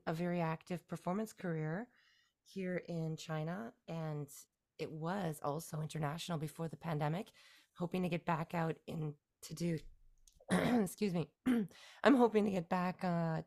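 A woman from Canada in her forties, a musician guest talking through her career in a relaxed, rambling way with fillers and restarts. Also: lowish voice, creaky. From Canada, near Toronto. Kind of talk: casual interview conversation.

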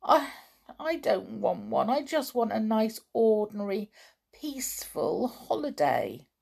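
Woman playing a timid little girl, worried, plaintive, high voice, storybook. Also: lively intonation, measured pace, creaky, a little mellow. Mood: anxious. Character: timid little schoolgirl, anxious.

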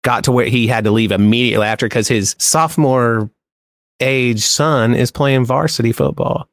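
A man's voice: medium pitch, fairly even intonation, normal speed, dry recording, clean studio sound. A man co-hosting a podcast, telling anecdotes in a laid-back, colloquial way. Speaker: podcast co-host.

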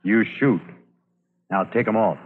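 An actor playing a stern, suspicious army captain, curt and commanding in an old radio drama. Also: male voice, on the low side, takes it slowly, phone-quality sound. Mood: cold commanding. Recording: thin, noisy vintage radio recording.

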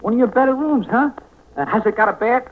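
A man playing a dopey, loud crackpot hotel guest in a 1940s American radio comedy, talking in a goofy, uneducated way. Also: very high voice. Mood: dopey, curious. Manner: slow, goofy, uses "me" for "my". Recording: tinny, noisy vintage radio recording.

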